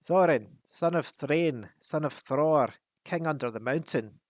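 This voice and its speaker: A man voicing a proud, solemn dwarf king who speaks in a loud, stately way. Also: medium pitch, moderate pace, dry recording, clean studio sound, close to an everyday voice. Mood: commanding.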